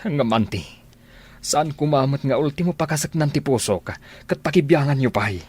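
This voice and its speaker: An actor playing a gentle, lovelorn man with a sincere, pleading delivery in a radio drama. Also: mid-range voice, moderate pace, a little hiss or hum, lightly acted. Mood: hurt, pleading. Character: earnest, lovelorn man Ferdinand, gentle and persistent.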